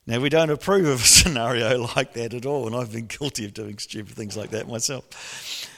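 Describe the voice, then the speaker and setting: Middle-aged male pastor, gravelly and animated, preaching in a hall with a long echo. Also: mid-range voice, moderate pace. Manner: animated storytelling with bursts of laughter. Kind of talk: sermon. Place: church hall. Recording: long church reverb.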